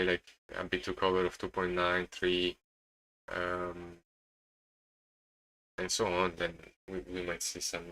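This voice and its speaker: A low-voiced man with a strong, bright edge to his sound and an Italian accent. He talks casually as a live streamer, in a very flat melody, stopping and repeating words as he searches for the next one. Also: rough recording. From Italy. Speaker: day trading live streamer. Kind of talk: casual live market commentary.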